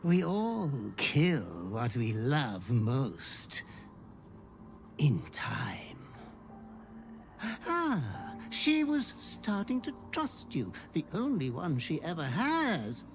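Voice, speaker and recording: Game casting: servile, sly demonic manservant, theatrical and gleefully cruel, extremely animated melody, unhurried delivery. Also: male voice, a little high, gravelly, soft background music, exaggerated voice. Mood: mock pity. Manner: theatrical, obsequious, savoring words with drawn-out phrasing. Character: sly servile goblin-like fiend butler, gleefully murderous, fawning. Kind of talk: video game character acting.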